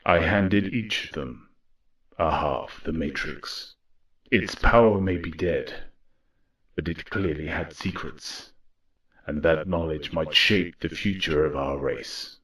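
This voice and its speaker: A man playing a weary, solemn robot war leader in a grave, deliberate audio drama monologue. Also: extremely low pitch, a bit bright, exaggerated voice, poor audio. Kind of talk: reflective dramatic monologue narration.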